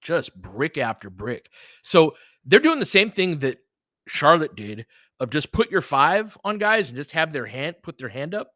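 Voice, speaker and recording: A man's voice: fairly high pitch, sing-song, measured pace, pushed and bright, no echo. A man doing casual live basketball play-by-play in short, clipped phrases, with informal asides as a fan would make. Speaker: play-by-play commentator and streamer.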